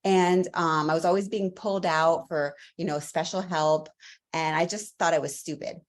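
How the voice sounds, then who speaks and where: Low-pitched woman professor, earnest, chatty, lots of um and you know. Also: fairly even intonation, normal speed. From Latvia. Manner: earnest, fluent storytelling with fillers. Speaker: guest, professor. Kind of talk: podcast interview answers.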